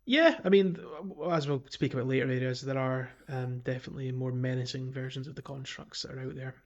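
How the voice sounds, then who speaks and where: A man hosting a podcast in a casual, chatty style, speaking in a slightly halting way. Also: medium pitch, highs cut off. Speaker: podcast host. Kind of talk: casual podcast chat about a video game.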